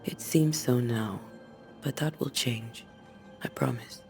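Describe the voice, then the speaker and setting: An actress playing a composed, resolute woman ghost hunter in a video game, speaking in a measured, grave and reassuring way. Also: very deep voice, darkish tone, heavily acted. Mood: gentle, grave. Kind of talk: video game cutscene acting. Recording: music under the dialogue.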